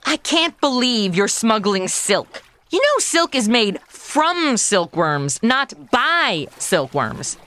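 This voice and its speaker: A woman's voice: fairly high pitch, sing-song, slow, few pauses, bright, forceful tone, heavily acted. A woman playing a chatty, oblivious, sassy modern young woman in a comedy audio drama, with flippant, slangy delivery. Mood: smug, righteous.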